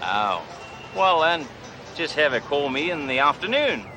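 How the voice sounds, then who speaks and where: A man's voice: on the high side, sing-song, a little slow, over music, pitched above the performer's own voice. A man putting on a swaggering Aussie tycoon. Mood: boastful. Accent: put-on Australian. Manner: swaggering, loud, folksy slang. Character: brash boastful fake Australian tycoon car dealer, adult man. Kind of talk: sitcom acting.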